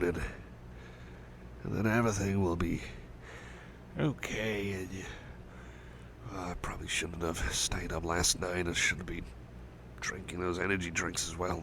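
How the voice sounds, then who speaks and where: Man acting as a shy caring male friend, low gravelly murmur, hesitant stammering, ASMR roleplay, noticeable background noise. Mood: sleepy, caring. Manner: soft-spoken, hesitant, stammering, rambling. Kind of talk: intimate ASMR roleplay, personal attention.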